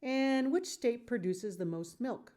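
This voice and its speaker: Woman playing a brisk, no-nonsense coach. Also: fairly high pitch, expressive, dark tone, voice pushed up. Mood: quizzing. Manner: emphatic, quizzing.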